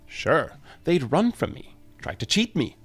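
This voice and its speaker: Grim reaper, male actor: put-upon, wry, conversational complainer with swooping, expressive delivery for comic audio drama. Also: a little high, measured pace, husky, soft background music, exaggerated voice. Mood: exasperated. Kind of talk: comedic audio drama dialogue.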